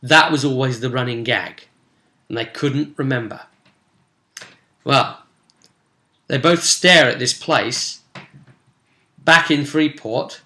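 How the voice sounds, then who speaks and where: A man's voice: mid-range voice, bright, forceful tone. A man chattily recapping a tabletop role-playing session as its game master, telling it like an informal story. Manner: chatty, storytelling, acting out brief bits of dialogue. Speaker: game master and vidcast host. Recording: roomy, echoing sound.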